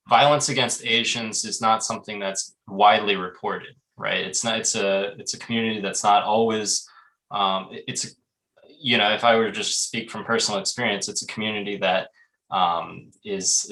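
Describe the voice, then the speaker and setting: Halting man on a video call panel. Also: on the low side, bright, forceful tone, low-quality recording. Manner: thoughtful, halting, many fillers and restarts.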